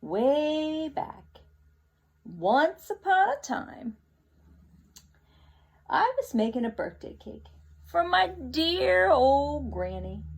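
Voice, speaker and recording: Woman playing an aggrieved storybook wolf, highly animated. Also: vocal fry, heavily acted. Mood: animated storytelling. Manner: exaggerated, drawn-out storytelling emphasis. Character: male storybook wolf, chatty, aggrieved, self-excusing narrator. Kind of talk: children's picture book read-aloud.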